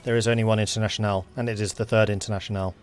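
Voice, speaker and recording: A man's voice: lowish voice, level tone, racing, faint music behind. A Scottish man giving casual let's-play commentary on a strategy game, chatty and wry, with joking asides.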